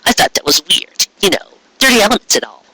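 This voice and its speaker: A man's voice: sits high, sing-song, fairly quick, gravelly, sharp tone, pitched above the performer's own voice, exaggerated voice, poor audio. A man voicing a young female unicorn magician who explains herself earnestly and defensively in a story. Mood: earnest explaining.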